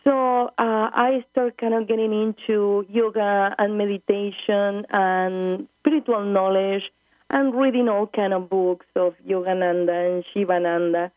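A woman's voice: medium pitch, steady tone, unhurried, no room sound. A woman in her forties from Argentina with an Argentine Spanish accent, a native Spanish speaker earnestly searching for English words as a guest in a phone-in interview. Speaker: guest, spiritual travel leader. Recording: tinny phone line.

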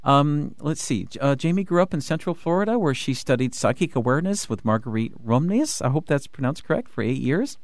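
A man hosting a radio talk show from the studio, talking in an excited rush with many restarts and fillers. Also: mid-range voice, a little fast, few pauses, no room sound. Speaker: radio host.

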